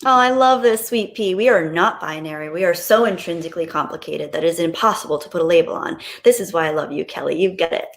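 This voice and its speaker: A woman's voice: lowish voice, fast, creaky, few pauses. A woman hosting a livestream, chatting casually and reading out viewer comments in a gushing, appreciative, playful way. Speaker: livestream host.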